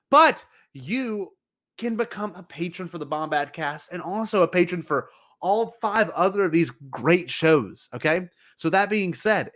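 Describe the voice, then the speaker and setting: A male podcast host with a fairly high voice for a man. He talks casually and rambles through his thoughts, joking and playfully hyping things as he goes.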